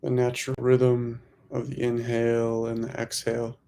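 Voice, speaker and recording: Man, meditation teacher, extremely level intonation, vocal fry, slow hushed phrases, long pauses. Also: mid-range voice, monotone. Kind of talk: guided meditation.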